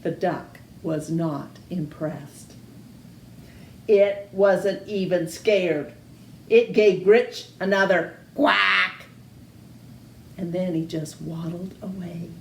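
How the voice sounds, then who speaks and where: A woman reading a children's picture book aloud in an expressive, sing-song way. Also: lowish voice, drawn-out words, vocal fry, reverberant, slight background noise. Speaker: storytime reader.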